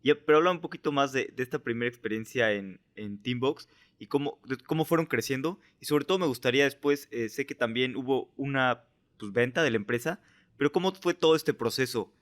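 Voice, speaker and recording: Male podcast host, medium pitch, conversational, halting and winding in his questions. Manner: halting, winding questions padded with filler words.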